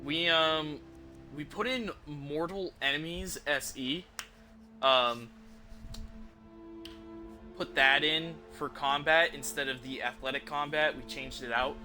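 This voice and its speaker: A man's voice: fairly high pitch, takes it slowly, long pauses, pushed and bright. A man hosting a game livestream, chatting to viewers in an informal, rambling, explanatory way without a script. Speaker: livestream host, gamer. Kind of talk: casual unscripted chat to stream viewers. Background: music behind the voice.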